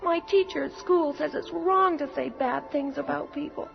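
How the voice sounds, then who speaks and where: A plaintive little girl in an old radio play, very high and creaky-edged. She is earnest and innocent in her pleading. The recording is dull, with music behind. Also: normal speed. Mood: pleading protest. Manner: plaintive, childlike. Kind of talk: old-time radio drama acting.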